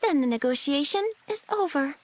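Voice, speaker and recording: An actress playing a plucky young stylist adventurer in a video game, assertive and expressive. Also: female voice, high-pitched, normal speed. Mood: firm. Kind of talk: game cutscene voice acting.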